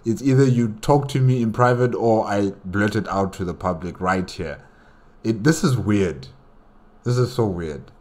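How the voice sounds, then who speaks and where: A man's voice: on the low side, unhurried, a little mellow. A man hosting a reaction video, giving casual, conversational commentary and repeating himself for emphasis.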